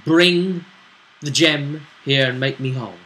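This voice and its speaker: A man chatting casually as game master, recapping a tabletop role-play session in a halting, storytelling way with pauses. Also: a little high, pushed and bright, rough recording.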